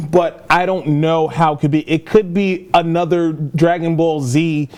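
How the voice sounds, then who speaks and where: A man's voice: a little high, steady tone, a little slow, a little mellow. A man co-hosting a podcast, talking casually about pop culture as an enthusiastic, opinionated fan. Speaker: podcast co-host.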